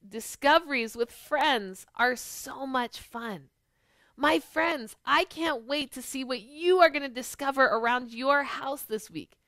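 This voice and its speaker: A middle-aged woman, a children's pastor, hosting an animated storytime for preschoolers and speaking to them with questions. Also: high-pitched, slow, no room sound, studio quality. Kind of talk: storytime for preschoolers, chat and picture-book reading. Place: small living room at home, on a couch.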